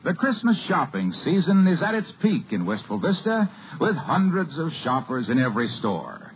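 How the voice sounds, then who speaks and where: A man's voice: fairly high pitch, big pitch swings, moderate pace, music in the background. A man with an American accent announcing and reading a scripted commercial in polished, emphatic 1950s radio salesman style. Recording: tinny, noisy vintage radio broadcast.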